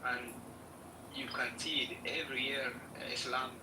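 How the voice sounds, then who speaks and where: A man from Somalia speaking in halting, hesitant English, with many fillers and restarts, in a casual chat in an online audio room. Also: mid-range voice, little pitch movement. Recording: roomy, distant, noisy online audio.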